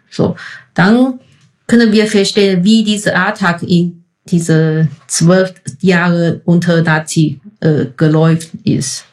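A woman's voice: on the low side, darkish tone. A woman from Taiwan, speaking German with a Taiwanese accent as a non-native speaker, answering interview questions hesitantly and searching for words. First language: Chinese. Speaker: podcast guest.

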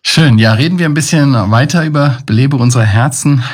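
A man's voice: medium pitch, brisk. A man hosting a podcast interview, asking short questions and affirming guests. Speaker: podcast host.

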